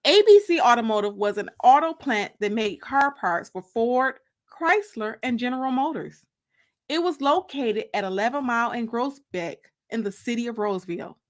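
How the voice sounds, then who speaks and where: A woman reading a first-person story as dramatic audiobook narration, expressive and deliberate, drawing out the ends of phrases. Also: fairly high pitch. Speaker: author reading her book.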